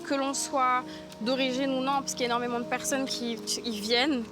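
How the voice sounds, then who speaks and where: A woman's voice: sits high, flat delivery, fairly quick. A woman from Guiana, answering an interview earnestly and repeating words for emphasis. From French Guiana. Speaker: village activist interviewee. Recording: music behind the voice. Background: background music.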